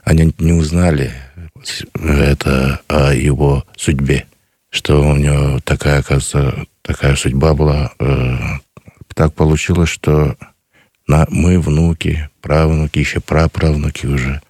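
A man, answering a radio interviewer earnestly and slowly, with many pauses. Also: a bass voice, little pitch movement, a little mellow, no echo, studio quality. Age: 50s. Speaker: guest, grandson of a missing soldier.

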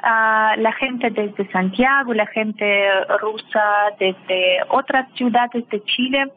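Russian woman, non-native speaker, slow halting delivery, higher voice, tinny phone line. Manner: halting, searching for words, with frequent fillers. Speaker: guest, language teacher and film translator. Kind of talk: casual interview over a call.

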